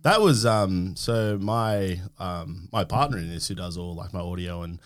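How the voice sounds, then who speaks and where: A man's voice: low voice, measured pace. A man hosting a podcast, chatting casually and swearing freely. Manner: loud and sing-song reading a sponsor ad, sweary and laid-back in chat. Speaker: podcast host. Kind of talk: casual chat. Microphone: podcast studio mic.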